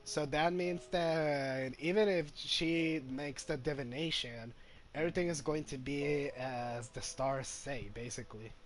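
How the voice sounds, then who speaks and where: A man's voice: on the high side, a little slow, faint music behind. A man commentating casually on a game, explaining things with emphatic repetition. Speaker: gaming commentator.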